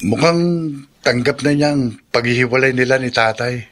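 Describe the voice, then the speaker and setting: A man's voice: mid-range voice, steady tone, moderate pace, a bit bright, close to an everyday voice. A man playing a young son in a radio drama, confiding matter-of-factly about his family. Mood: resigned, confiding. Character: plain-spoken young student son confiding family troubles.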